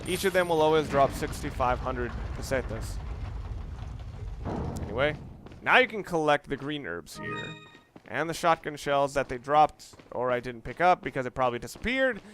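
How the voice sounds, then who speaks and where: A man's voice: medium pitch, lively intonation, moderate pace, over music. A man doing casual, rambling video game commentary, informal and opinionated, with some mild swearing. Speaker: let's play commentator.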